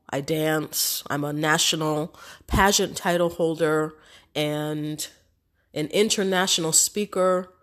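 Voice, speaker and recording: Congested, chatty woman podcaster. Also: sits low. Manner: chatty, many fillers, affirming and motivational. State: congested from a cold. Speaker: podcast host. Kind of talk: casual solo podcast talk.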